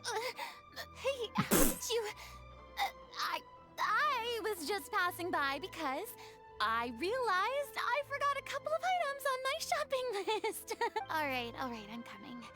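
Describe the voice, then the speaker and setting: A woman's voice: very high-pitched, very animated, measured pace, full character voice. An actress playing a proud, theatrical young woman who is flustered and stammers, then turns formal, in a game. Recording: game audio with faint music.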